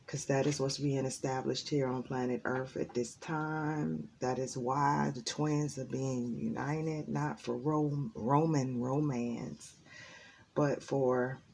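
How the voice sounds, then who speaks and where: A woman giving a casual spiritual tarot reading in a raspy, just-woken voice, rambling and earnest with many ums. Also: very deep voice, fairly even intonation, takes it slowly, darkish tone, a little hiss or hum. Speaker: tarot reader.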